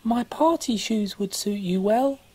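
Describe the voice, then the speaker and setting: A man voicing a kindly, generous caterpillar in a children's rhyming storybook, with an eager, gentle way of talking. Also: high-pitched, unhurried, breathy edge, voice pushed up. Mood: kindly, cheerful. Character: kindly, generous, cheerful caterpillar giving away his shoes.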